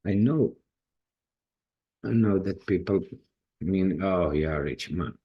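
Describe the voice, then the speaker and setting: Croatian guy talking in english, slow and halting, kinda husky. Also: low voice, highs cut off. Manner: halting, non-native phrasing with restarts. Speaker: indie author. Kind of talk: personal talk to camera.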